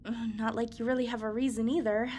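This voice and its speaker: A woman's voice: on the high side, little pitch movement, fast talker, darkish tone, a little hiss or hum, lightly acted. A woman playing a frank, friendly young space cadet in a sci-fi anime-style drama. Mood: casual, chiding. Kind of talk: sci-fi anime parody audio drama acting.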